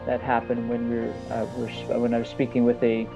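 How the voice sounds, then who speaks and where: Halting, reflective man, music playing behind. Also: mid-range voice, monotone, gravelly. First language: English. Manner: halting, reflective, pausing mid-thought. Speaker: coach. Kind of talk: personal testimonial talk to an audience. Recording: slightly dull audio with music underneath.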